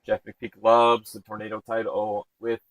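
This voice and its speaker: A man with a booming voice hosting a live stream, energetic and shouting out viewers. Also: moderate pace, no room sound, rough recording. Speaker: stream host.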